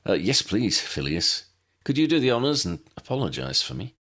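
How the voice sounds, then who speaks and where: A man's voice: on the low side, sing-song, moderate pace, a bit bright. A man voicing a serious, discreet young male team leader in an audiobook, direct and polite. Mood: apologetic.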